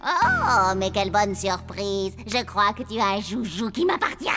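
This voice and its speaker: A woman's voice: mid-range voice, sing-song, measured pace, in a large hall, loud music behind the voice. A woman voicing a scheming female cartoon villain with sugary, false politeness. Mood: mocking.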